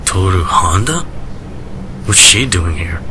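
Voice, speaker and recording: Man acting as a deep, reserved, melancholy doctor. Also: lively intonation, sharp tone, a little hiss or hum, heavily acted. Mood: brooding, introspective. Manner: measured, restrained, formal. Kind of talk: radio drama acting. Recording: music under the voice.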